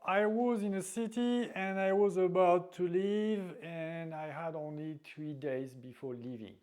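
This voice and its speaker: Slow, halting man. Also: fairly high pitch, darkish tone. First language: French. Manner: halting, searching for words, many restarts. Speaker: dating advice vlogger. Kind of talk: storytelling monologue.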